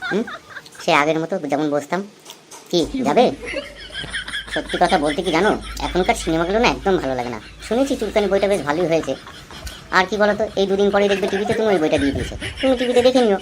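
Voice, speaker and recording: Man voicing a cartoon husband, thirties, rapid speech, chatty, coaxing, thrifty joker. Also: high voice, lively intonation, noisy background. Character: cheerful teasing husband, thrifty, in his thirties. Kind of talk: amateur comedy cartoon dialogue.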